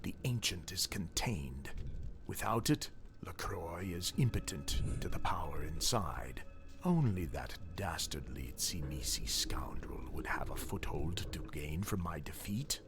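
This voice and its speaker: An actor playing a haughty, contemptuous vampire sorcerer in a video game, with pompous, archaic speech. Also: male voice, deep voice, animated, unhurried. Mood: scornful warning. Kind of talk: theatrical video game villain acting. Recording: loud music behind the voice. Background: loud game music.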